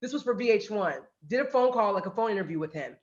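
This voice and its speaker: A woman on a panel who works in radio and podcasting, telling a lively story and acting out quotes. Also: mid-range voice, quick pace, reverberant, lo-fi sound. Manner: animated storytelling, acts out quotes. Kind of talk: panel talk, personal story.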